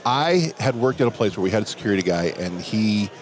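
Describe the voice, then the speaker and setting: A man with a big voice, a security professional telling a relaxed story during a hallway chat at a conference. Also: lowish voice, a little fast. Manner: relaxed anecdotal telling, quoting others in dialogue. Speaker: interview guest, security professional. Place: outdoors at a conference venue.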